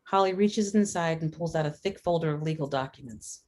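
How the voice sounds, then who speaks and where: A woman's voice: low-pitched, a little fast, a little mellow, slight background noise. A woman reading scripted stage directions as narrator at a screenplay table read.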